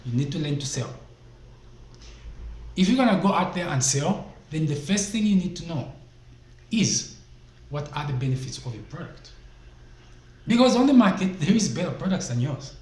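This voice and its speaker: A man's voice: a little high, very animated, moderate pace, pauses often, a little mellow. A man from Zambia giving business advice as a vlogger, emphatic and fond of rhetorical questions, speaking into a desk microphone. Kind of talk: casual motivational talk to camera. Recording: echoey room, faint background noise.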